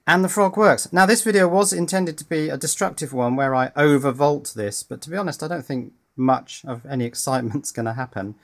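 A man talks through an electronics repair at his bench. His delivery is relaxed and wry, full of small pauses and "uh"s as he thinks aloud. He sounds like a hobbyist chatting to a camera. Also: fairly high pitch. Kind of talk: casual tinkering commentary. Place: indoors at a workbench.

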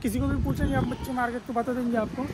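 A man's voice: very high-pitched, flat delivery, racing. A young man in his twenties chatting casually to viewers on a road-trip vlog, filming himself at arm's length and explaining prices and places. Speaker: travel vlogger. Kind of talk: casual travel vlog narration. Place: outdoors at markets and beach, also in a car and a room. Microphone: phone camera at arm's length. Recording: noisy outdoor phone audio.

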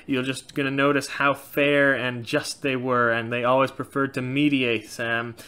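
A man's voice: medium pitch, normal speed, clear, resonant tone. A man in his thirties from Norway with a slight Norwegian accent, hosting a casual Q&A from a small room and talking frankly and animatedly. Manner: frank, animated, gestures a lot. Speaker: channel host. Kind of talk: casual Q&A chat.

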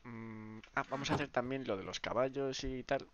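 A man's voice: normal speed, muffled recording, heavy background noise. Congested man streaming a game. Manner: rambling, many restarts, low mumbled asides. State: congested, sore throat. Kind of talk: casual live-stream chat.

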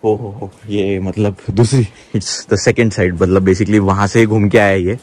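A young man talking excitedly on a casual travel vlog, mixing English words into his Hindi. He records outdoors by a river and in a small round boat, speaking into a handheld camera. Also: on the low side, measured pace. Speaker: travel vlogger.

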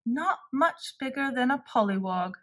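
A woman voicing a cheerful young male frog who tells a childhood story in an animated, emphatic way for small children. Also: high-pitched, measured pace, full character voice. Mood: bright storytelling.

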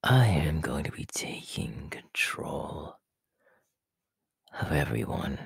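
Sinister, possessive male snake villain for ASMR roleplay: extremely deep, very slow, raspy and menacing. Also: big pitch swings, dry recording, studio quality, heavily acted. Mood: possessive menace. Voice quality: breathy, raspy whisper. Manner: slow, drawn-out, gloating.

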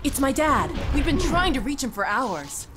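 Video game heroine: young, warm, resourceful resistance fighter, earnest and expressive, loud music underneath. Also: female voice, high voice, normal speed. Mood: warm, reflective. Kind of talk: naturalistic video game acting.

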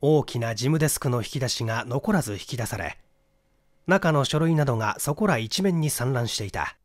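A man narrating a mystery story from a script in an expressive storytelling manner. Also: mid-range voice, brisk, no room sound, studio quality. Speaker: audiobook narrator, stage actor.

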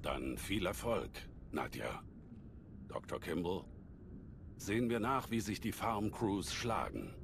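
A man playing a calm old alien Jedi master in a German game dub, measured and scholarly, with a deep gravelly voice. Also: a little hiss or hum, exaggerated voice. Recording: sci-fi game ambience behind voice.

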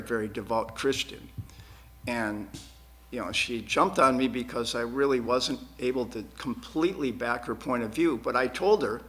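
A man answers questions as a political candidate in a studio forum. His delivery is earnest and emphatic, with words repeated for stress. His pitch sits in an ordinary male range. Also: fairly bright tone. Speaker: school board candidate. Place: public access TV studio.

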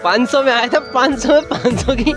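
A young man with a very high voice chats to his phone camera outdoors, teasing friends and laughing as he talks. Loud music plays under him. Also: a little fast. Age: young adult. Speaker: village vlogger. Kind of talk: casual vlog chat to camera. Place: outdoors in a village and on a moving bike. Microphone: phone held at arm's length.

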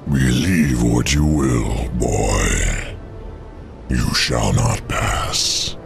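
An actor voicing a menacing, gloating undead servant of a dark lord in a fantasy game, with a cavernous voice and grandiose manner. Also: male voice, extremely low pitch, full character voice. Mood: grim warning. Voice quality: gravelly, cavernous. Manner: slow, grandiose, menacing pronouncements. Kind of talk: fantasy video game cutscene acting. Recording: game music behind the voice.

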